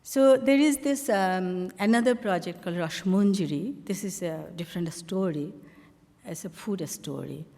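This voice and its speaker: A woman from Bangladesh with a Bangladeshi accent, an artist telling the story behind her slides in a halting, conversational way full of fillers. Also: on the low side, a little mellow, long reverb tail. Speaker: artist presenting her work on a panel. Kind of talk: conversational talk walking through slides.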